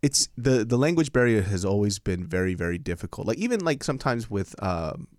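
A man's voice: fairly low pitch, sing-song, brisk, a little mellow, no room sound, clean studio sound. A man co-hosting a podcast, talking candidly in a joking way and swearing freely. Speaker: podcast co-host. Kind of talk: casual podcast chat.

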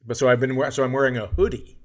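A man's voice: mid-range voice, steady tone, fast talker. A man hosting a podcast with casual, sarcastic comedic banter. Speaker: podcast host.

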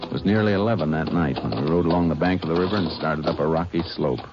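Male actor as steady Ranger lawman, radio western. Low, terse, matter-of-fact, dull vintage radio sound. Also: fast talker, over music, close to an everyday voice. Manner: laconic, matter-of-fact questioning. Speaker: lead actor and narrator. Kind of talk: 1950s radio western acting and narration. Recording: muffled 1950s radio recording.

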